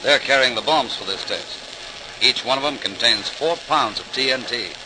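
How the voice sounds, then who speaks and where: Wry, no-nonsense male navy man actor, middle register, old-time radio style, clearly noisy recording. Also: mid-range voice, moderate pace, close to an everyday voice. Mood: wry, casual. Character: brisk, wry male navy shipmate giving orders. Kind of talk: 1940s-style radio docudrama acting.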